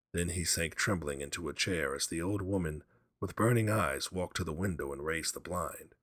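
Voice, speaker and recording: A man reads a horror story aloud as a dramatic narrator. His voice is deep and grainy, moving expressively through the prose, recorded close and dry. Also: a bit bright, no room sound.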